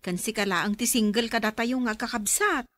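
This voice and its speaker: A woman's voice: fairly high pitch, moderate pace, a bit bright, close to an everyday voice. Woman playing a fretful, weary grown daughter. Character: concerned grown daughter, weary of her troublesome mother. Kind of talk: Ilocano radio drama acting.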